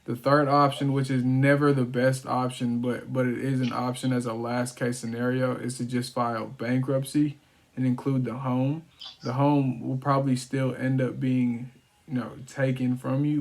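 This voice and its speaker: A man's voice: mid-range voice, flat delivery, moderate pace, gravelly, low-quality recording. A man who works as a real estate wholesaler, speaking casually and informally as he explains things, as on a sales call. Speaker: real estate wholesaler making cold calls. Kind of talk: casual chat and phone sales calls.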